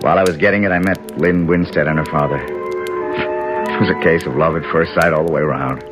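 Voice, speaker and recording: Hard-boiled cop turned lawyer, American, very deep, clipped, wry, 1970s radio drama, prominent music bed. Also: male voice, fairly even intonation, fairly quick. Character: tough, wry cop-turned-lawyer, loyal right-hand man of a senator. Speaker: narrator and character in a radio mystery. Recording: vintage radio broadcast with music underneath.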